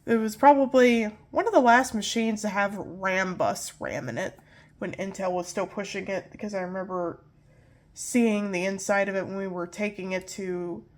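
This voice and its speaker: A woman's voice: mid-range voice, normal speed. Nasal young woman rambling about computers. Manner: rambling, self-correcting, apologetic. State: nasal from pollen allergies. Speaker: tech video host. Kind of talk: casual hardware walkthrough.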